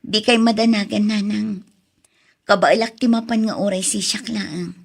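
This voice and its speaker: A woman's voice: mid-range voice, steady tone, normal speed, vocal fry. A woman playing a devoted, heartbroken young woman with an Ilocano accent in an audio drama. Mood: earnest, subdued.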